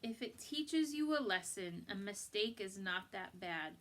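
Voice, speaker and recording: A woman reading a picture book aloud to children in an expressive, deliberate, instructive way. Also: mid-range voice. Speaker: storybook reader for children.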